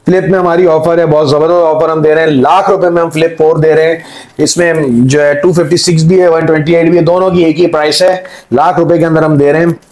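Higher-pitched Pakistani man pitching like a salesman. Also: fairly even intonation, quick pace, a little mellow, low-quality recording. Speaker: mobile phone seller. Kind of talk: informal sales pitch to camera. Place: indoor room with display table.

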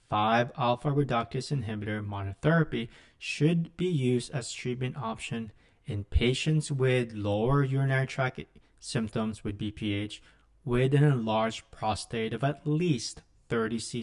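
A middle-aged man, a physician lecturer, reads a scripted medical lesson in a measured, instructional manner into a close microphone. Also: medium pitch.

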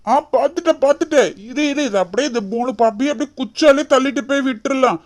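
A performer voicing a bossy, confident young village husband, brisk and repetitive, in an animated story. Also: high-pitched, lightly acted. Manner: brisk, bossy, repeats himself.

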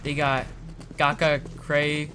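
A young man acting as an excitable zombie apocalypse survivor in an amateur game roleplay. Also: a little high, takes it slowly, noisy background. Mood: uneasy, casual. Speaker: lead player in a game roleplay video.

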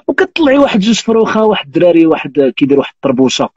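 A man's voice: a little high, sing-song, quick pace, darkish tone, highs cut off, slight background noise. A Moroccan man in his thirties hosting a live stream, teasing and telling jokes with frequent oaths. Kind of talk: joking casual chat. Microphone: phone on live video call.

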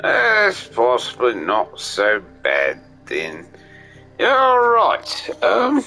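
A man's voice: mid-range voice, huge pitch swings, extremely slow, sharp tone. A man giving casual live commentary on a game, rambling in whimsical asides to himself. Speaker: game streamer. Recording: game music behind, slight mic echo. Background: video game music.

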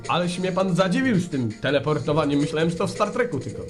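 A man's voice: fairly high pitch, lively intonation, quick pace, over music, lightly acted. A man playing a mock-serious, wordy and theatrically polite phone-in host in a parody radio play. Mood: chummy. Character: glib, mock-solemn male radio phone-in host, secretly fed up.

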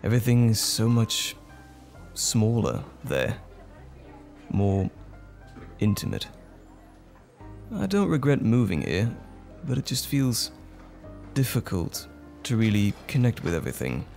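Man acting as a slow-spoken, bashful romantic date. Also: lowish voice, very animated, creaky, darkish tone, full character voice. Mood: wistful. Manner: tender, hesitant, lightly chuckling flirtation. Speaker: voice actor playing a date. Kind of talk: romantic ASMR roleplay acting. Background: music.

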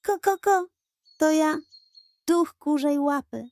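A woman voicing a fake chicken spirit in a children's fairy tale, mysterious, pompous and full of grand flattery. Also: sits high, slow pace, no echo, pitched above the performer's own voice. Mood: mysterious, theatrical.

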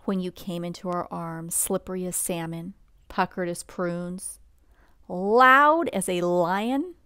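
A woman reads a bedtime story very slowly, in a slightly low voice. Her delivery is gentle and expressive, shaped for a picture book read-aloud. The sound is close and dry. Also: clean tone, no room sound.

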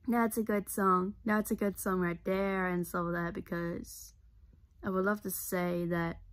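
A young woman talks straight to camera from her bedroom, her voice sitting low. She rambles earnestly and circles back over her own points, recorded up close on a device. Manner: rambling, repeats herself, earnest. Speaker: vlogger. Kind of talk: casual talk to camera. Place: bedroom, indoors. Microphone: device camera at close range.

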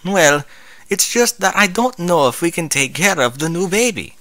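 A man's voice: sits high, lively intonation, moderate pace, pushed and bright, no echo, pitched above the performer's own voice. A man voicing a refined, fretful female pony, an anxious new mother in a story, fussy and dramatic. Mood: worried.

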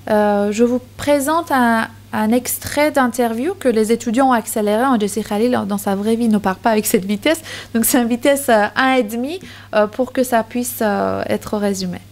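A woman's voice: a little high, moderate pace. A woman in her thirties speaking at a university panel into a table microphone, with frequent fillers and restarts. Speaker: conference speaker. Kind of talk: academic talk with many hesitations. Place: university lecture hall, seated at panel table. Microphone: table microphone on stand.

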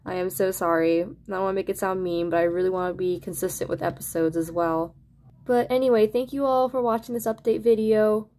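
A woman's voice: mid-range voice, fairly even intonation, a little fast, warm tone. A woman host giving a casual, apologetic and pleading update to her online viewers. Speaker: channel host and amateur animator.

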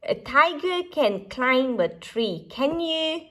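A woman's voice: high-pitched, very animated, takes it slowly, a bit bright. A woman reading a picture book aloud expressively for young children, as a storyteller.

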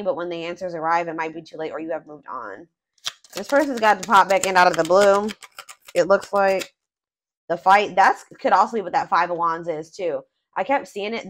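A woman giving a casual tarot reading off camera, thinking aloud with fillers and restarts, her voice a little hoarse. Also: on the low side. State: voice going hoarse. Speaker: tarot reader.

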